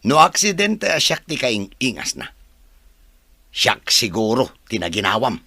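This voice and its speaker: Man acting a forceful, scornful lover. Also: mid-range voice, sing-song, measured pace, slightly rough, no echo, clean studio sound, full character voice. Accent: Ilocano Filipino. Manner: confrontational, mocking. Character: scornful, accusing irresponsible lover. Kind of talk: Ilocano radio drama acting.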